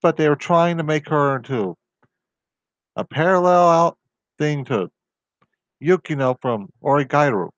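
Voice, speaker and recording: A man's voice: a little high, expressive, a little slow, long pauses, no room sound, muffled recording. Rambling man venting in a dry room. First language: not Japanese. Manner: rambling, exasperated, goes on tangents. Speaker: video host. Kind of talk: casual solo commentary.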